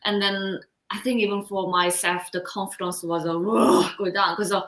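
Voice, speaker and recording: Bright Korean-accented woman coach. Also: medium pitch, echoey room. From South Korea. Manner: expressive, laughs often, halting non-native phrasing. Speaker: confidence coach, guest. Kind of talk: casual interview storytelling.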